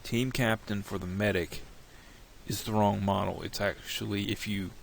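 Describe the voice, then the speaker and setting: A man with a cold and a slightly nasal voice, walking through a software tutorial in a relaxed, rambling way, thinking aloud at his computer. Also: fairly low pitch, moderate pace, gravelly, a little hiss or hum. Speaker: tutorial creator.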